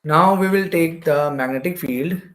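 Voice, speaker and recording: A man's voice: on the high side, normal speed, fairly bright tone. A man with an Indian accent giving an explanatory exam-prep lecture as a physics teacher, going step by step and repeating phrases.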